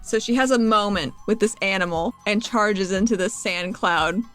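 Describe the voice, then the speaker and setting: A woman with a medium-pitched voice plays a tabletop role-playing game. She chats casually and playfully, improvising what happens, with music playing behind her. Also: normal speed, fairly bright tone.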